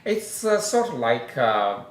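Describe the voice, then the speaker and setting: A middle-aged man from China with a Mandarin Chinese accent, co-hosting a casual food chat, pausing often with "uh". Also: medium pitch, big pitch swings, echoey room. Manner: halting, many "uh" pauses. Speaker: co-host.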